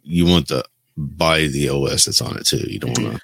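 A man with a deep voice, recorded close and dry, chats as a podcast co-host. He is explanatory and opinionated, laying out his points in an informal discussion. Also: normal speed, gravelly, a bit bright, husky, dry recording. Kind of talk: casual tech discussion.

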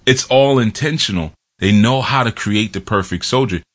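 Low-voiced guy chatting casually about a movie, rambly, lots of fillers. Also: moderate pace. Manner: rambling, digressive, many fillers. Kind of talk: casual film commentary.

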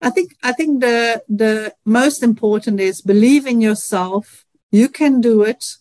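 A woman's voice: fairly high pitch, measured pace, a little mellow, no room sound. Middle-aged Dutch woman, dry sound. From Netherlands. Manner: earnest, reflective, many "you know" fillers. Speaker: guest, engineering professor. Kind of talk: radio interview answers.

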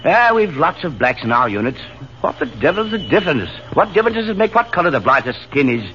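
A hearty, slangy lieutenant. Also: male voice, a little high, sing-song, fast, pushed and bright. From Australia. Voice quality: hearty, blunt, full of old slang. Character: hearty, blunt Australian lieutenant, man, good-natured. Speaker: Australian cavalry lieutenant. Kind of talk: 1940s radio acting. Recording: dull old radio broadcast recording with faint hiss.

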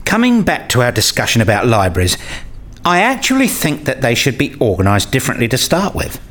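A man's voice: mid-range voice, wildly swooping pitch, fairly quick, a bit bright. A man acting in a scripted radio play as an eager, opinionated character who explains and argues his ideas. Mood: earnest, argumentative.

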